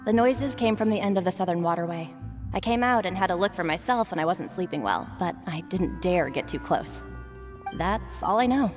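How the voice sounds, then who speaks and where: A woman's voice: lowish voice, lively intonation, moderate pace, close to an everyday voice. A woman voicing a guarded, street-wise townswoman in a video game, wary and noncommittal, over music. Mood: wary, offhand. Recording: music playing behind the voice.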